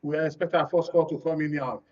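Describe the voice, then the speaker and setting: A Nigerian man presents a live radio phone-in. His voice sits a little high for a man. The recording is dull, as if slightly away from the microphone. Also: fairly even intonation, brisk, darkish tone. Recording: dull studio sound, slightly distant.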